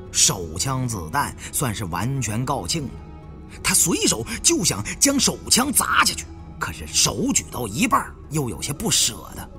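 A man narrating an adventure story in a dramatic, expressive storytelling manner. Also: a little high. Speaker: audiobook narrator.

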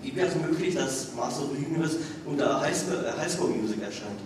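A man asks a panel a question in a halting way. He is far from the mic in a reverberant hall, with clear background noise. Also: mid-range voice, animated. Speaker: audience member asking a question. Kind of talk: asking a panel question, hesitant. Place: large convention hall. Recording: echoey, noisy hall from far off.

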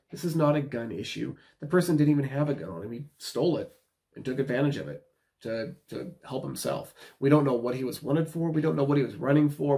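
A man's voice: mid-range voice, mellow. An American man in his thirties giving opinionated, casual commentary to camera, emphatic and repeating his points for stress, recorded indoors in a small room. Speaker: video commentator.

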